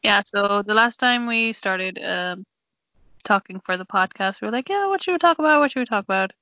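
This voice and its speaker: A woman's voice: medium pitch, expressive, fairly quick, pushed and bright. A young woman chatting casually on her sister's podcast, rambling and a little self-conscious. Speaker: podcast guest. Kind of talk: casual sibling podcast chat. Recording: dry, dull lo-fi audio.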